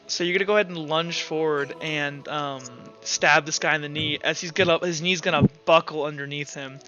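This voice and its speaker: A man's voice: a little high, steady tone, a little fast, bright, forceful tone. A man casually narrating and refereeing a tabletop roleplaying game as game master. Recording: dull lo-fi sound, music behind.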